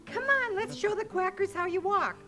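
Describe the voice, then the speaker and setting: A woman's voice: extremely high pitch, moderate pace. An older woman hosting, chatting casually and coaxingly around a children's story reading. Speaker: host. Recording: music playing behind the voice.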